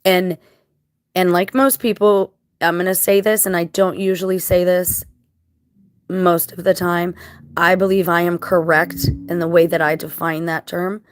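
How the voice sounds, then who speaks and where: A woman speaks in a fairly low, scratchy voice, a little dry-mouthed after crying. She talks casually and earnestly to her viewers, halting as she thinks things through. The sound is close and dry, with little room around it. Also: little pitch movement, dry recording. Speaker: vlogger.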